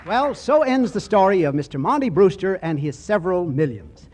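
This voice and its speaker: A man's voice: high voice, big pitch swings, normal speed. A man hosting a scripted 1940s radio program, heard on a faintly noisy old broadcast. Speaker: host.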